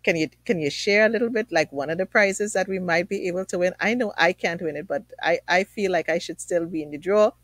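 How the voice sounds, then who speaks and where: A woman's voice: on the low side, fairly quick, few pauses, dry recording. A woman hosting a conversational online interview show, speaking in an effusive, affirming manner. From Trinidad. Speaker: talk show host.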